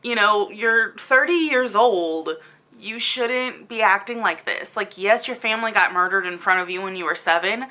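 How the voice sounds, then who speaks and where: A woman with a bright, crisp-edged voice at an average pitch talks casually to her channel's viewers as a reviewer. She is opinionated and blunt, sounding exasperated as she stretches and stresses words to make her point. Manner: exasperated, blunt, conversational with emphatic drawn-out words. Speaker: book reviewer hosting a video channel.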